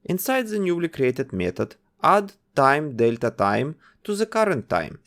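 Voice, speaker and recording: A man narrating a coding tutorial, calmly giving instructions one step at a time. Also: fairly high pitch, unhurried. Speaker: game development tutor.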